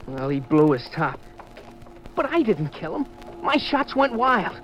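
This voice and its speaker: High-voiced, jumpy young radio sailor. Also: male voice, big pitch swings, unhurried, fairly bright tone, full character voice. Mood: uneasy, secretive. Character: desperate, jumpy nineteen-year-old male sailor. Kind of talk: 1950s radio drama acting. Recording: muffled old radio recording, faint music.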